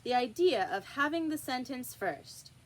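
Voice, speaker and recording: A woman voicing a bold, outspoken little girl with a loud, defiant manner in a storybook reading. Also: high voice, animated, unhurried. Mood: indignant. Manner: loud, indignant.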